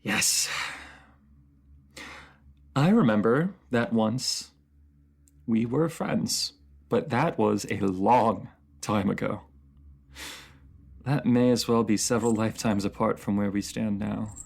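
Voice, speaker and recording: An actor as a bitter fantasy ruler, mid-pitched, theatrical, wounded, halting, pleading, intimate audio drama monologue. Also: creaky, darkish tone. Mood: cold, accusing. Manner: theatrical, halting, pleading, addresses one listener directly.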